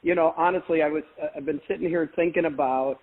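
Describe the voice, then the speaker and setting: A man's voice: on the high side, fairly even intonation, quick pace. A man from St. Louis, a podcast guest, who teases the host and then offers earnest congratulations. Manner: teasing, earnest. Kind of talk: casual congratulatory chat. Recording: phone-like call audio.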